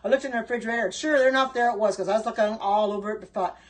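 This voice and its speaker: A woman's voice: fairly high pitch, racing. An older woman chatting casually on a live stream while baking, greeting viewers by name and wandering from topic to topic with homespun sayings. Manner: frequent ums and restarts, homespun sayings. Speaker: home cooking livestreamer.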